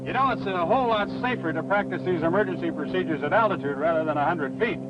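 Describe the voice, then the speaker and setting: A muffled 1960s film soundtrack with loud music carries a calm flight instructor played by a man. His voice sits high for a male, and he explains each step methodically, like a by-the-book pilot. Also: fast talker, close to an everyday voice. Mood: instructive. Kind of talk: 1960s training film acting.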